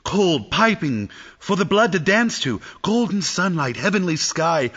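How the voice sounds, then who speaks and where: A man's voice: high-pitched, very animated, a little slow, a bit bright, dull audio. A man narrating a classic tale in a dramatic, scripted storytelling style.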